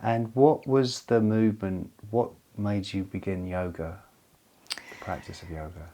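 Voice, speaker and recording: A man interviewing a guest, asking gentle, probing questions and rephrasing them as he goes. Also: sits low, steady tone, unhurried.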